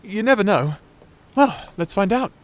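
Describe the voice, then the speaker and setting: Man playing a high-voiced, stammering nerdy wizard. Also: expressive, normal speed, background noise. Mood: relieved, chatty. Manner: stammering, hesitant, apologetic. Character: shy nerdy young male wizard, anxious, rambling. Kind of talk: character acting in fantasy audio roleplay.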